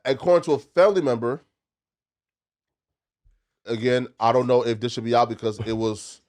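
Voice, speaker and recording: A man's voice: mid-range voice, moderate pace, a little mellow. A man in a casual podcast debate, speaking emphatically and argumentatively in slang. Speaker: podcast panelist.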